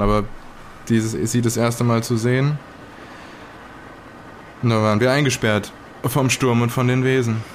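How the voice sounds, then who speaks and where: A man playing a shaken survivor of an island horror, haltingly searching for words in an improvised audio drama. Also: mid-range voice, slight background noise, close to an everyday voice. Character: shaken male survivor of monsters on a storm-bound island.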